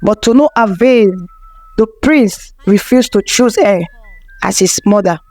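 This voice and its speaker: A woman's voice: mid-range voice, unhurried, a little mellow, no room sound, soft background music. A woman narrating a folktale from a script as a storyteller.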